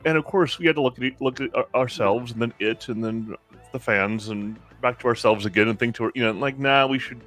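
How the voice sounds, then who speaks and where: A man's voice: mid-range voice, brisk, soft background music. An American man chatting on a livestream, telling stories with stammering restarts and frequent laughs. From United States. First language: American English. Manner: animated storyteller, stammering restarts, laughs often. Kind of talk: casual livestream chat.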